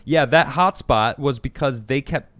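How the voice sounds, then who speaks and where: An American man hosting a casual political talk show, getting animated and indignant on the news, often restarting his sentences. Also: mid-range voice, unhurried, dry recording. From United States. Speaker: host.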